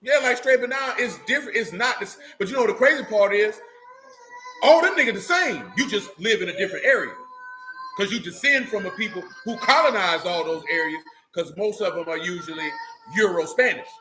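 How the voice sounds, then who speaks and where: High, bright-voiced streetwise man. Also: animated, a little fast, faint music behind, rough recording. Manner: streetwise, emphatic, keeps checking in with listeners. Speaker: teacher of a religious assembly. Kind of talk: informal group teaching.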